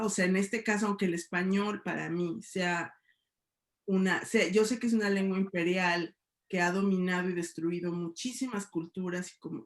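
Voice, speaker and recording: A woman's voice: lowish voice, a bit bright. Mexican woman professor, echoey room. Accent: Mexican Spanish. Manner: thoughtful, many hedges and tag questions. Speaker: sociology professor, guest. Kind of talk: reflective debate talk.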